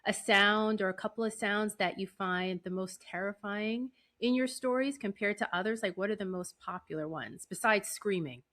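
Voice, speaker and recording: A woman's voice: mid-range voice, normal speed, few pauses, fairly bright tone. A woman with a mid-range voice hosts a casual interview. She is chatty and conversational, building her questions loosely as she talks. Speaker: podcast host, interviewer.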